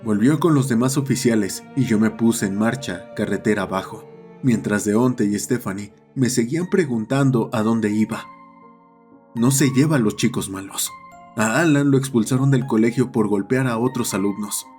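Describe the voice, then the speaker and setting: A man's voice: lowish voice, normal speed, a little mellow, soft background music. A man reading a scripted horror story as its narrator.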